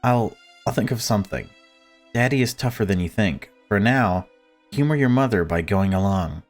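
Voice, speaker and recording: A man voicing a subdued father of few words in a horror visual novel, quietly reassuring. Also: lowish voice, lively intonation, moderate pace, soft background music, natural voice.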